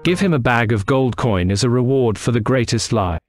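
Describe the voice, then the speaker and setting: A man's voice: medium pitch, expressive, normal speed, soft background music, close to an everyday voice. A man playing male characters in a children's cartoon folk tale, speaking scripted lines emphatically. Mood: declamatory.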